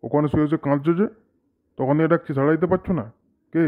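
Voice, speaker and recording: A man's voice: on the high side, rapid-fire, close to an everyday voice. A man playing a bossy village elder brother in a comedy cartoon, speaking colloquially and giving short repeated orders. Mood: puzzled, bossy. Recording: thin phone-like sound with faint noise.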